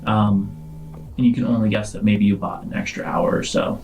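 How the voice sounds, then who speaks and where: A man running a tabletop roleplaying game, telling the story to the players in a halting, deliberate way. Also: on the low side, little pitch movement, a little mellow, poor audio. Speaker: game master.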